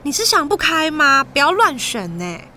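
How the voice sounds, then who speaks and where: Young woman as a sassy, very animated Taiwanese schoolgirl. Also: sits high, moderate pace, a little hiss or hum, full character voice. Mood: playful. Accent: Taiwanese Mandarin. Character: sassy, whiny, dramatic teenage schoolgirl. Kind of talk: amateur audio drama acting. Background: music.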